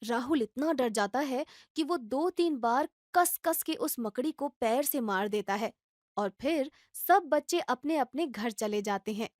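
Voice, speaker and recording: A woman reading scripted storybook narration for a children's cartoon in an even storytelling way. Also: high voice, measured pace, no echo, studio quality.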